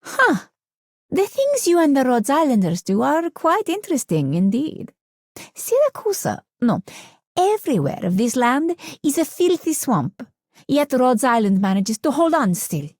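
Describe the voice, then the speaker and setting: A woman's voice: sits high, very animated, unhurried, a little mellow, no echo, clean studio sound, full character voice. An actress voicing an amused, aloof, shrewd woman in a video game, with a probing manner. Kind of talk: video game voice line acting.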